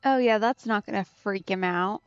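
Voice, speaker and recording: A woman's voice: mid-range voice, moderate pace. A woman giving casual let's play commentary with wry, teasing asides. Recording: slightly dull audio, game music behind.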